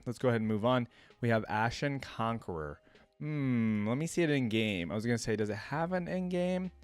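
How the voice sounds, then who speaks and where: A man's voice: mid-range voice, animated, fairly quick, clear, resonant tone, no echo. A man giving casual reaction commentary as a video host, chatty and thinking aloud as he goes. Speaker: host of a gaming reaction channel.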